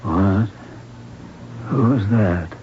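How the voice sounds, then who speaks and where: A dull, noisy radio drama recording carries a frail old man. His voice is very low and he speaks very slowly, in confused, halting fragments, as a doctor weakened by a stroke. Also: lively intonation. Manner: halting, fragmented, slow speech. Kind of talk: 1970s radio drama acting.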